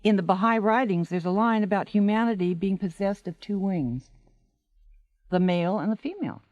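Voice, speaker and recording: An actress in a 1980s radio drama playing a seasoned stage actress, a frank and supportive friend with an emphatic manner. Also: female voice, mid-range voice, normal speed, darkish tone, no room sound, dull audio, lightly acted. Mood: earnest, firm.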